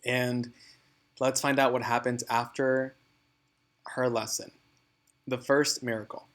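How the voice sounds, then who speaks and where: A man narrates a children's storybook in a mid-pitched voice. He reads expressively and deliberately, leaning on key words for dramatic effect. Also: level tone, a bit bright. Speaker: read-aloud narrator. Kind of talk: expressive storybook reading for students.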